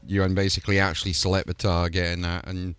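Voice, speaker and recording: Deep-voiced enthusiastic gaming host. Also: male voice, level tone, quick pace, fairly bright tone, smooth, dry recording. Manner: enthusiastic, promotional wrap-up. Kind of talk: casual showcase chat and promo.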